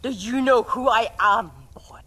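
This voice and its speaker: A woman playing an irascible old granny master forger in a video game cutscene, theatrical and scolding, swinging between shouts and hushed mutters. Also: on the high side, unhurried, breathy edge, heavily acted. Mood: awed, brooding. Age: older.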